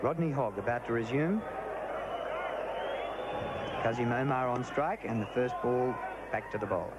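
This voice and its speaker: A man calling ball-by-ball cricket commentary, lively and rising on big moments. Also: medium pitch, a little slow. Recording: noisy old broadcast tape.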